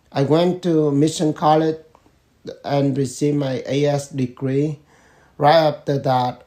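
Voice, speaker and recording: A man, answering family interview questions in slow, measured phrases with pauses. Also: mid-range voice, warm tone. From Vietnam. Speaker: interviewee, father.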